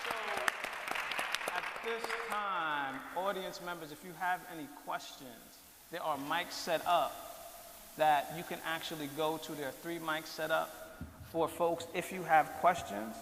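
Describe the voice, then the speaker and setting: A man's voice: fairly high pitch, a little slow, vocal fry, a bit bright. A man moderating a public panel, politely steering the audience between questions. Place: large hall with an audience. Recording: reverberant hall, faint crowd noise.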